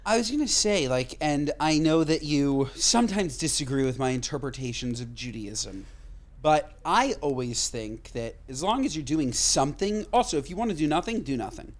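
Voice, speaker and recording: Man, podcast co-host, casual chat, emphatic, profane, slightly high voice. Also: expressive. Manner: emphatic, swears casually, talks in quick bursts.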